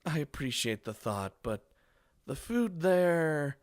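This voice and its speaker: A man reading the lines of a calm, polite blind teenage girl from a visual novel in a plain, measured voice. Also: fairly high pitch, voice pushed up. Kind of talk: reading game dialogue aloud.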